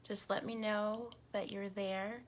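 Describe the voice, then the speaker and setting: A woman hosting a casual livestream with a hoarse, failing voice, talking indignantly to her viewers. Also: mid-range voice, level tone, slow, a little hiss or hum. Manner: indignant, gets louder when riled up. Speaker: activist livestream host.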